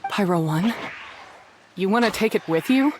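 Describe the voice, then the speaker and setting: A woman's voice: medium pitch, animated, normal speed, noisy background, natural voice. A woman voicing a sullen, curt young female beast tamer in an anime game. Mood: surprised. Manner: curt, grudging.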